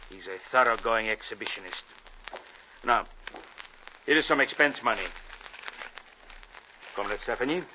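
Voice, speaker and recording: A menacing Soviet spymaster, crisp and cold; dull 1950s broadcast sound. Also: male voice, mid-range voice, moderate pace, pauses often, bright, forceful tone. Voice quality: clipped, coldly commanding. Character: cold menacing male Soviet agent, middle-aged. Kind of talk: 1950s radio drama acting. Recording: dull old radio broadcast with hiss.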